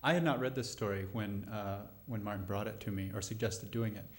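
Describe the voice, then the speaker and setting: A man's voice: lowish voice, fairly quick. A man speaking unscripted to a live audience as a playwright explaining his work. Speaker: playwright answering audience questions. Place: studio theater before live audience.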